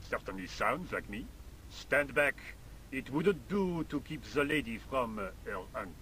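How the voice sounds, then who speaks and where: A man's voice: mid-range voice, lively intonation, unhurried, a bit bright, a little hiss or hum, rough recording. An actor playing a vain Frenchman who smokes, speaking with a French accent in a haughty, theatrical way. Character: smoking Frenchman, vain, easily flattered. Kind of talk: game voice acting.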